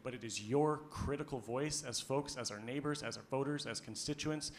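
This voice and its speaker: A man's voice: mid-range voice, normal speed, slight background noise. Earnest man addressing a board meeting. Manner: earnest, emphatic, frequent fillers. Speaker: school board director. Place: school board meeting room. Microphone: handheld microphone held close.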